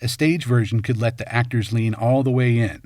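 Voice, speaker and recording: A man narrating a scripted film analysis in measured, short punchy phrases. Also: medium pitch, steady tone, darkish tone, no echo, studio quality. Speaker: video essay host and narrator.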